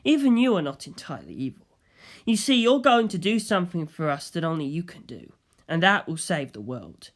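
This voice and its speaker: Higher-pitched man narrating a story. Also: big pitch swings, a little fast. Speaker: audiobook narrator. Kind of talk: scripted first-person story narration.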